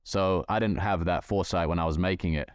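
Man, podcast guest, reflective, hedging, very low voice, dry close mic. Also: steady tone, brisk, smooth, no echo. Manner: reflective, thinking aloud, hedging. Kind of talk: casual podcast chat.

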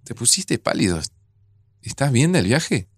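A man's voice: medium pitch, sing-song, a little slow, breathy edge. An actor with a Rioplatense Argentine accent playing an easygoing, teasing older man and caring friend in a naturalistic audio drama. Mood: teasing, casual.

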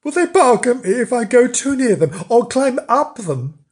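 A woman's voice: fairly high pitch, sing-song, normal speed, voice pushed up. A woman voicing a timid, gullible young male kitten in a storybook, plaintive and anxious. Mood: astonished, anxious.

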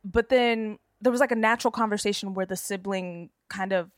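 A woman guest comedian chatting casually on a podcast, animated and slangy, with a slightly nasal voice. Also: mid-range voice, brisk, dry recording, clean studio sound. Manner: animated, slangy storytelling with sung and mimicked bits.

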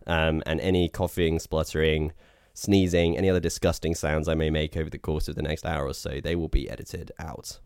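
Hoarse, deep-voiced male co-host. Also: flat delivery, a little fast, hardly any pauses, a little mellow, dry recording, studio quality. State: hoarse from illness. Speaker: podcast co-host. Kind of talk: casual chat.

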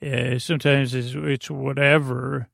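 A middle-aged American man with a creaky voice hosts a bedtime podcast in a meandering, halting, soothing monologue, easily sidetracked. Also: medium pitch, little pitch movement, fairly bright tone, dry recording, studio quality.

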